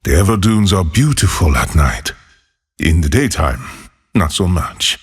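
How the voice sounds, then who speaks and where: An actor playing a solitary, haunted former monk turned lawless desert wanderer in a video game, speaking in a solemn, measured, husky voice. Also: male voice, low voice, sing-song, exaggerated voice. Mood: weary, reflective. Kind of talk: scripted game character voicelines.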